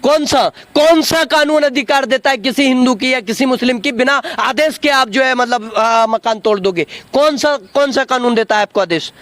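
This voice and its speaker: A man's voice: very high-pitched, moderate pace, few pauses, fairly bright tone, no echo. A man being interviewed in a heated political argument, combative and insistent, repeating his phrases and rhetorical questions. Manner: combative, cuts in to demand a hearing. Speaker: interviewee in a street political debate.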